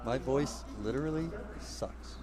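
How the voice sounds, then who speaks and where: A man's voice: medium pitch, unhurried. A man chatting as a guest on a live poker stream, rambling, teasing and self-deprecating. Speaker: guest co-commentator. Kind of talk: casual banter on a live stream. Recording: noisy crowd at a live event.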